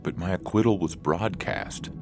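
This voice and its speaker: An indignant defendant, acted by a man, pleads and protests in a strained, shrill manner. The voice is pushed up above the performer's deep natural pitch, with music playing behind it in this audio story. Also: normal speed. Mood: protesting. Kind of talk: dramatic story reading.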